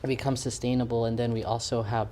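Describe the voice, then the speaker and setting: A young adult man as an interview guest, earnest and halting, full of fillers and restarts. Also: mid-range voice, flat delivery, dark tone, slight background noise. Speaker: community organization guest. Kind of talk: casual interview chat.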